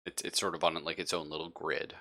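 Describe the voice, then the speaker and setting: A man's voice: rumbling low, little pitch movement, fast talker, vocal fry, pushed and bright. A man improvising as game master of a tabletop roleplaying game, thinking aloud with some hesitation.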